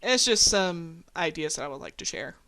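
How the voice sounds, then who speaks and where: A woman with a slightly low voice talks in a casual group chat. She speaks informally and lets mild swear words slip in as she goes. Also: lively intonation, moderate pace, pushed and bright.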